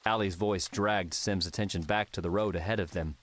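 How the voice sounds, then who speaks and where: Low-voiced male audiobook narrator. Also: steady tone, a little fast, fairly bright tone. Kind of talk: scripted narration of a fantasy adventure novel.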